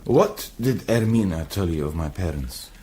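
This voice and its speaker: An actor playing an earnest, courteous, proud young medieval soldier in a radio drama, formal and sincere. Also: male voice, low-pitched, expressive, moderate pace, dark tone. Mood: courteous, guarded. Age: young adult.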